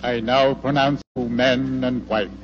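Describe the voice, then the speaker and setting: Actor as solemn intoning minister over music. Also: male voice, mid-range voice, flat delivery, a little slow, natural voice. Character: solemn male minister pronouncing a wedding. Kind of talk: 1940s radio acting. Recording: lo-fi old radio, loud music behind. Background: loud music.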